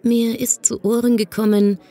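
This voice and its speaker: A woman's voice: fairly high pitch, level tone, normal speed, dark tone. A woman playing a cynical, patronising police superior with veiled menace in a fan audio drama. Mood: amused, probing.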